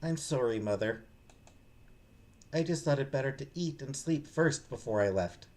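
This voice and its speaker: A man voicing a timid, apologetic young woman from a visual novel, speaking softly and hesitantly. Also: medium pitch, lively intonation, moderate pace, natural voice. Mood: fearful, pleading. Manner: meek, hesitant, soft-spoken.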